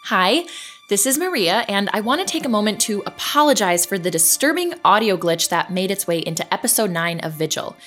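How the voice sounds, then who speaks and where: A woman's voice: mid-range voice, expressive, fairly quick, a bit bright, close to an everyday voice. Actress as resolute woman podcaster, earnest audio drama announcement, music underneath. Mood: apologetic, resolute. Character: determined female podcast host defying a stalker. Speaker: podcast host.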